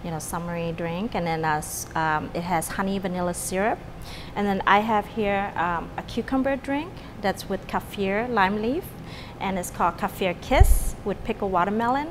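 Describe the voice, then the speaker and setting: A woman's voice: medium pitch, moderate pace, noisy background. Woman from Vietnam, middle-aged, restaurant owner; casual, rambling with fillers; long reverb tail. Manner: chatty, many fillers and restarts. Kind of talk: casual interview. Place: restaurant dining room.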